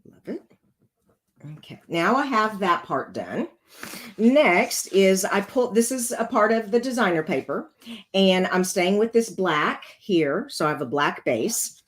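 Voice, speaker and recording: A woman with a rough, congested voice hosting a casual card-making tutorial, chatting her way through each step as she works. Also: mid-range voice, big pitch swings, unhurried, a little hiss or hum.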